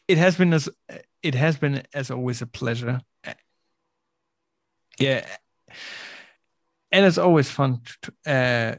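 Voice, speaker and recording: A man speaking English as a second language as a podcast guest, halting and stuttering, with restarts. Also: medium pitch. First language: not English. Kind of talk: casual gaming chat.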